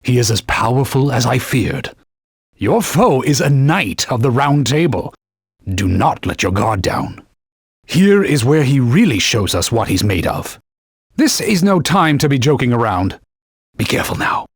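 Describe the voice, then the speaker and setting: A man's voice: mid-range voice, big pitch swings, measured pace, breathy edge, dry recording, studio quality, heavily acted. An actor voicing a stern, haughty talking sword in a video game, giving combat orders and scolding his partner as a fool. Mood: warning. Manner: commanding, gives combat tips.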